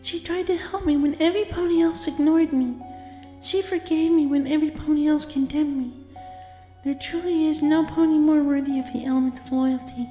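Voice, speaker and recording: A woman voicing the gentle, sorrowful ghost of a young mare, speaking in a solemn, tender and earnest way. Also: high-pitched, fairly even intonation, normal speed, natural voice. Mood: solemn gratitude. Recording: long echoing reverb, faint music behind.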